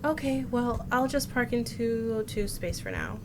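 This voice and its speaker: A woman's voice: on the high side, wildly swooping pitch, moderate pace, noisy background. A woman streamer reading visual novel text aloud, expressively voicing the narration and character lines. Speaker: game streamer. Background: game music.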